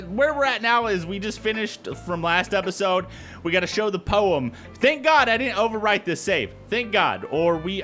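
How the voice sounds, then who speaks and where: A man's voice: sits high, a bit bright. A man hosting a gaming video with casual, informal, jokey let's play commentary.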